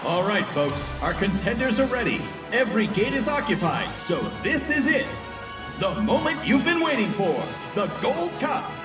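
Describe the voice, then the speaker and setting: An actor playing an excitable male race announcer with a grandiose, showy delivery in a video game. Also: sits high, moderate pace, echoey room, far from the mic, over music. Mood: hyped, grand. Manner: grandiose, showman-like. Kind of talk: theatrical sports commentary.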